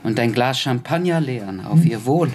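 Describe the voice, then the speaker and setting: An actor playing a courteous, attentive carer of an aged professor, speaking in a measured, reassuring way in a radio drama. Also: male voice, medium pitch, lively intonation, a little mellow, natural voice.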